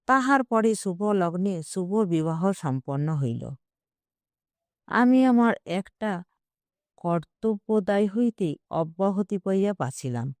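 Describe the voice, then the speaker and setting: A woman narrating a scripted literary short story in a measured, expressive storytelling manner. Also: mid-range voice, no room sound.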